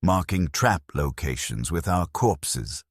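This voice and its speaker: A man narrating a dramatic scripted first-person war story as a grim, war-weary soldier, in a grave, measured, deliberate delivery. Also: deep voice, expressive, husky, dry recording, studio quality. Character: grim war-weary penal legion soldier recounting battles. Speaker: narrator of a fan lore channel.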